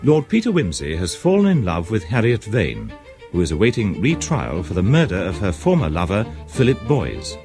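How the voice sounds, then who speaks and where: An actor as a formal storyteller, delivering scripted narration for a radio mystery drama. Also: male voice, sits low, huge pitch swings, normal speed, a little mellow, soft background music, full character voice. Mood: dramatic, formal.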